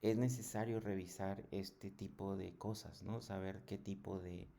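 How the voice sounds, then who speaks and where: A man giving a programming tutorial in a halting, didactic way, often checking in with short questions. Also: deep voice, level tone, vocal fry, warm tone. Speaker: programming instructor. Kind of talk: screen tutorial lesson.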